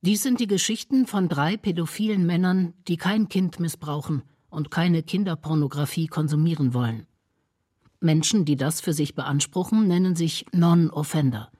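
A man narrating a radio documentary in an even, deliberate scripted voice. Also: on the high side, dry recording, studio quality.

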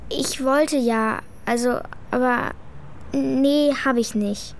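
Blunt, high-voiced schoolgirl. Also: fairly even intonation, slow, slight background noise. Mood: reluctant. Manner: colloquial, matter-of-fact, defiant. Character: blunt, cheeky schoolgirl telling her story reluctantly. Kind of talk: children's radio play acting.

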